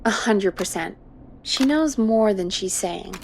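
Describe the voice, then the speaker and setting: An actress playing a level-headed, cautious radio show producer in a video game, composed and practical. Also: female voice, mid-range voice, lively intonation, takes it slowly, vocal fry, darkish tone, slight background noise.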